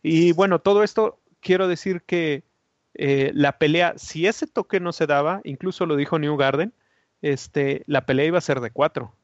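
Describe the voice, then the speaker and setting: A man with a fairly high voice explains a racing incident step by step, measured and hesitant, often pausing on fillers. The sound is very dry and a bit dull, close and lo-fi. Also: no room sound. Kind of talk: casual motorsport analysis.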